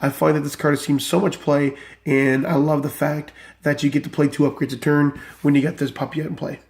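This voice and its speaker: A man casually walking through a card game deck, enthusiastic and rambling with many fillers, his voice still recovering from illness. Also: fairly high pitch.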